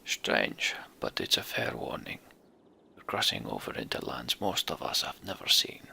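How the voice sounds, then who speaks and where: A man voicing a weary, wary giant warrior in a fantasy game, with a gruff, grave manner. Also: extremely low pitch, big pitch swings, normal speed, bright, forceful tone, slight background noise, pitched below the performer's own voice, full character voice. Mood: grave warning.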